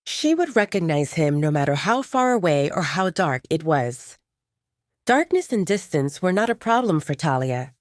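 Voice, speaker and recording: A woman reading a scripted story as an audiobook narrator, with an expressive, dramatic storytelling delivery. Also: lowish voice, no room sound, studio quality.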